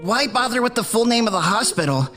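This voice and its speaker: A man acts out an impatient cartoon crewmate, the skeptical straight man. His voice is high and tense, blunt and exasperated, over faint music. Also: animated, quick pace, sharp tone, full character voice. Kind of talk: cartoon voice acting.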